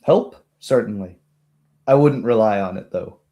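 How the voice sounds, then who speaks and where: A man voicing a calm, musing tabletop game wizard who speaks tersely with thoughtful hums. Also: on the low side, measured pace, lo-fi sound. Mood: measured. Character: calm male wizard, sparing with words, musing.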